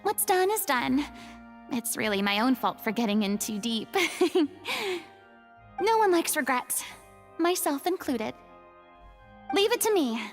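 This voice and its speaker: A woman playing a proud, melancholy young theatre star in a video game, with an expressive, dramatic delivery. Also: sits high, takes it slowly, pauses often, full character voice. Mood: wistful, resolved. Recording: game music behind.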